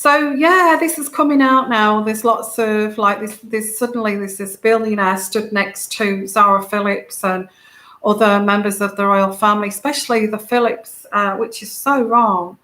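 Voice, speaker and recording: Woman, soothing soft voice, rambling livestream host, bright tone, roomy echo. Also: medium pitch, steady tone, normal speed. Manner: rambling, many fillers, greets viewers by name. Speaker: tarot reader and channel host. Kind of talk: casual livestream chat with viewers.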